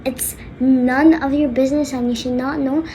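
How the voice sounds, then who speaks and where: Stern angry dad played by a woman, high voice, blunt emphatic scolding, homemade skit, noisy recording. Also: fairly even intonation, normal speed, dark tone, lightly acted. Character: stern, angry father scolding his spoiled daughter. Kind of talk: homemade skit role-play.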